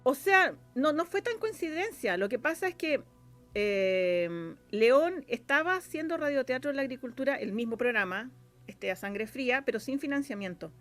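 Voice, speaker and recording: A woman's voice: high-pitched, big pitch swings, normal speed, faint music behind. A woman with a Chilean accent speaking as a guest in a casual interview, chatty and rambling with plenty of filler phrases. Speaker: interview guest, radio drama scriptwriter.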